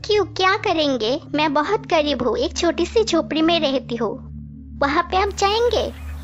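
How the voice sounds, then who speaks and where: A performer voicing a dutiful, caring, honest poor little girl in an animated moral story. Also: very high-pitched, moderate pace. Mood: earnest. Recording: muffled audio, prominent background music.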